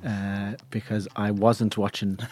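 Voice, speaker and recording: A man's voice: lowish voice, flat delivery, slow pace, darkish tone. A man co-hosting a podcast, rambling and hesitant, often restarting his sentences. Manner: rambling, hesitant, self-mocking. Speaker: podcast co-host. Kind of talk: casual chat.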